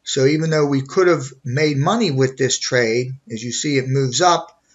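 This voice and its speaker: A man with a medium-pitched voice talks as an instructor walking through an on-screen tutorial. He explains methodically, one step at a time. The voice-over sounds a little dull and lo-fi. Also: normal speed. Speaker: trading instructor. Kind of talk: informal screen tutorial walkthrough.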